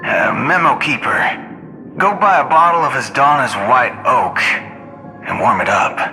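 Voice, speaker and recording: A laid-back, joking ranger drawls slowly with a lively rise and fall. His voice carries a long reverb, and game music plays clearly behind it. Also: medium pitch, full character voice. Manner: easygoing, joking, chuckling. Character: laid-back, jokey male ranger gunslinger. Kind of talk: game cutscene voice acting.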